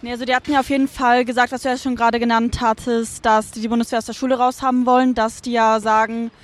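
A woman's voice: on the high side, little pitch movement, fast. A woman co-hosting a casual discussion in colloquial, roundabout phrasing. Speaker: co-host.

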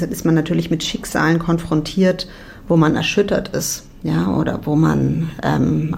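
A woman from Berlin in her forties answering interview questions thoughtfully, often pausing and restarting her sentences. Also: low voice, creaky, a little mellow. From Berlin, Germany. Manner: thoughtful, many restarts and hesitations. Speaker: psychology professor.

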